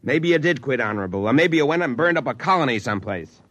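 An actor playing a gruff, world-wise freight office boss, blunt, slangy and lecturing, in an old-time radio drama. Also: male voice, mid-range voice, animated, fast, a bit bright, no room sound. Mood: scolding.

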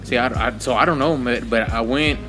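A man's voice: mid-range voice, fairly quick, pushed and bright, slight background noise. A man who grew up in Texas and spoke Spanish first, co-hosting a casual podcast chat, blunt and profane, laughing often. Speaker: podcast co-host.

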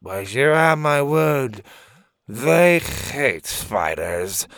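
A man voicing an eccentric, ancient male wizard in a storybook reading, fussy and bossy, with a creaky, drawn-out delivery. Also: mid-range voice, sing-song, a bit bright, no echo, studio quality, pitched above the performer's own voice, heavily acted. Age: older. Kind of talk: storybook character voice.

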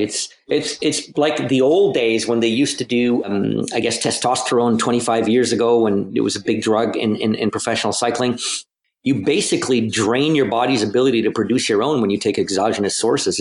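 A man in a podcast discussion about training science, talking haltingly with many restarts. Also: medium pitch. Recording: roomy echo.

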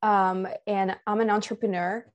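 A woman's voice: mid-range voice, flat delivery, moderate pace, dull audio. A young woman from Finland, a guest on a podcast, talking casually and thoughtfully with many fillers and restarts.

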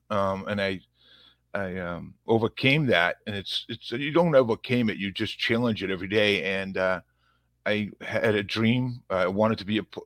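A man's voice: low voice, fairly bright tone, no room sound. A man in his fifties with a thick Boston accent, a police officer telling his life story as a guest in a rambling, self-deprecating way. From Massachusetts, USA. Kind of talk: casual conversational interview.

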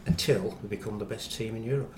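A man's voice: on the low side, fast, darkish tone. A middle-aged man with a West Midlands English accent, chatting confidently and wryly about football as a podcast guest. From Walsall, West Midlands of England. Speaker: chief reporter and podcast guest. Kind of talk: casual football banter. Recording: echoey room, faint background noise.

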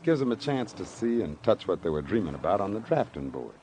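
An actor in an old-time radio drama playing a weary, earnest former boxer who speaks plainly in short, halting sentences. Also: male voice, on the low side, big pitch swings, dark tone. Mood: guarded, earnest. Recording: noisy vintage radio broadcast recording.

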